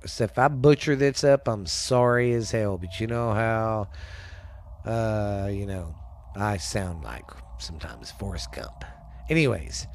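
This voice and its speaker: A man hosting a podcast in a casual, rambling way, his voice stuffy and congested from a sinus cold. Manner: rambling, drops to low spooky whispers. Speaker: podcast host.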